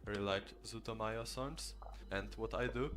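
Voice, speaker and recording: A man's voice: on the low side, normal speed. A man whose first language is Polish explains study methods in a tutorial video. He speaks in an earnest, instructive way. Faint music plays behind him.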